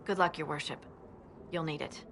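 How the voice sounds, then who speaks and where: Female military scout, game role: lower register, formal briefing manner, dutiful, faint ambience. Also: steady tone, normal speed, gravelly, noisy background. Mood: sober. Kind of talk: video game dialogue.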